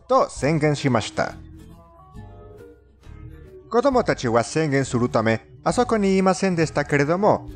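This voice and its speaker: Male narrator, non-native accent, lively and melodic intonation, formal scripted storytelling with stilted phrasing, music underneath. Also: a little high. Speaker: narrator of a fandubbed countdown video.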